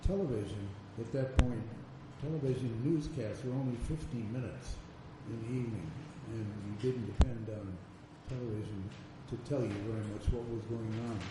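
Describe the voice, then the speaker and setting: An older man, a veteran reporter, recalling past events in a measured storytelling way on a panel. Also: mid-range voice. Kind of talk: panel discussion recollection. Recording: distant mic in echoey room with noise.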